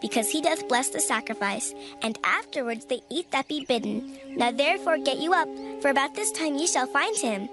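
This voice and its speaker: Young maiden: high, eager, helpful scripture drama voice over clear music. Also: female voice, animated, moderate pace, hardly any pauses. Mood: eager urging. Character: young maiden urgently giving helpful directions. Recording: music behind the voice.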